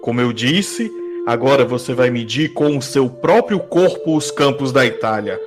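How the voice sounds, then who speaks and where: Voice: mid-range voice, lively intonation, moderate pace, over music, close to an everyday voice. An actor playing an arrogant, menacing warrior king, boastful and threatening, in an audio drama. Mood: gloating.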